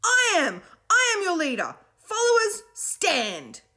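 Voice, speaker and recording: A woman voicing a boy who shouts commands at a crowd of children. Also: very high voice, huge pitch swings, slow pace, voice pushed up, exaggerated voice. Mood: commanding.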